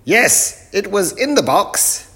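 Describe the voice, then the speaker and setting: A man voicing a muddled, excitable, hard-of-hearing old professor for a children's storybook, loud and exclamatory. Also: high-pitched, takes it slowly, vocal fry, a little hiss or hum, voice pushed up, full character voice. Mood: flustered, loud. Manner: loud, exclamatory, mishears and blunders on cheerfully.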